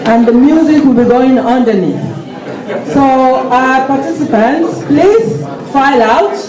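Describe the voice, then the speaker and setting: A high-pitched female MC addresses a gathering at a community event. Her voice reaches the mic from a distance in an echoey hall, with a loud crowd around her. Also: lively intonation, measured pace. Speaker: event host, MC. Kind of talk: announcing to a live audience at a community event.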